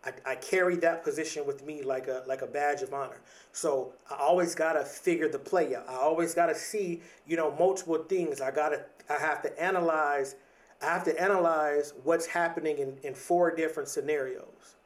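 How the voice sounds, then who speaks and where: A man's voice: on the high side, fairly even intonation, normal speed, far from the mic. A man in his thirties talking in a reflective, casual podcast monologue, often repeating and restarting words, with casual slang. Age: 30s.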